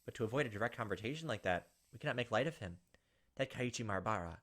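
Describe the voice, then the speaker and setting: A man's voice: on the low side, expressive, quick pace, a bit bright. A man hosting a gameplay video, reading a visual novel aloud as dramatized, playful narration.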